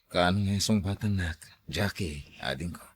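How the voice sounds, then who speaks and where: A man's voice: low-pitched, normal speed, breathy edge. An actor playing a lovestruck young man, an eager and generous suitor, in a radio drama. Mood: wooing, reassuring.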